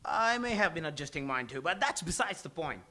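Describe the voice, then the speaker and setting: A haughty, indignant barman, played by a man at a table read, high and forceful. The delivery is pompous and needling, with big swings in pitch. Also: very animated, fairly quick. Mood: indignant, mocking. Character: haughty, indignant, needling male bar worker. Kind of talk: live sitcom table read.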